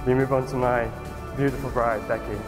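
Groom giving an affectionate toast. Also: male voice, medium pitch, flat delivery, fairly quick, in a large hall, over loud music. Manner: affectionate, plain-spoken toast. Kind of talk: groom's wedding speech. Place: wedding reception venue.

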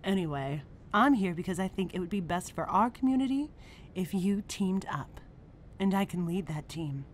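A woman's voice: on the low side, lively intonation, normal speed, a little hiss or hum, close to an everyday voice. A woman playing a confident, challenging mentor in a superhero comic dub, with music underneath. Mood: cool, assured. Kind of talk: acted comic dub dialogue.

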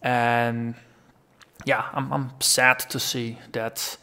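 A man's voice: medium pitch, little pitch movement, drawn-out words, pushed and bright, clear, resonant tone. A man hosting a gaming channel, talking through a long casual solo vlog, rambling and thinking aloud as his voice tires. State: voice tiring after a long recording.

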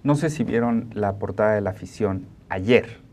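A man's voice: fairly low pitch, moderate pace, darkish tone, a little hiss or hum. A man in his forties with a Mexican accent, hosting an informal studio discussion in a colloquial, digressive way on a lapel mic. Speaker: talk show host.

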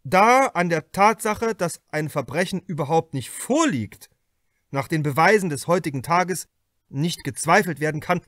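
A man voicing a pompous male state prosecutor in an audiobook, halting and formally declaiming. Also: fairly high pitch, fairly bright tone, no echo, studio quality, voice pushed up. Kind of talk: acted audiobook reading.

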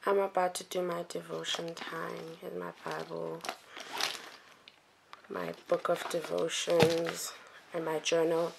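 A woman's voice: fairly low pitch, level tone, slow pace, gravelly. A young woman, vlogging casually to a handheld camera in her room, chatty and giggly, slipping in isiXhosa words. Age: young adult. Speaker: vlogger, university student. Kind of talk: casual vlog chat to camera. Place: student bedroom and outdoors. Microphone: camera held at arm's length. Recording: noisy handheld camera audio.

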